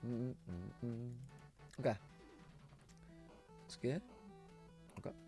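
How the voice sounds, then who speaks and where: Streamer, man, hoarse just-woke-up voice, rambling chat, average pitch. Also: very slow, mellow, faint music behind. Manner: rambling, chatty, laughs often. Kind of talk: casual live-stream watch-along chat.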